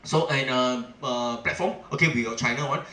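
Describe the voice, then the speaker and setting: A man's voice: medium pitch, a little fast, bright, forceful tone, roomy sound. A middle-aged man from Singapore with a Singaporean English accent, giving a seminar talk as a chamber president, emphatic and full of "okay" and "ah" fillers, often asking the audience questions.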